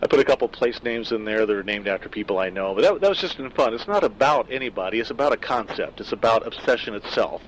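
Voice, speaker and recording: A man's voice: lowish voice, expressive, fast talker, few pauses, poor audio. Reflective middle-aged man, casual afterword. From Southern California. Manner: reflective, conversational, loose with fillers. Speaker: author discussing his own story.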